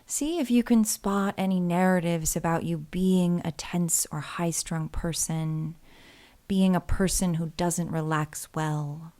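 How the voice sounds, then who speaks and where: A woman with a slightly low voice guides a body scan meditation. She speaks gently and soothingly, taking her time and leaving space between phrases. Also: steady tone. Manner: soothing, gentle, unhurried, with pauses.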